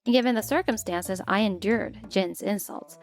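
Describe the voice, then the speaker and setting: A woman voicing a polite, put-upon stepmother who narrates her own story in an expressive manga dub. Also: medium pitch, moderate pace, lightly acted. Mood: narrating, troubled. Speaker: narrator and lead character. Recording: music bed behind the voice.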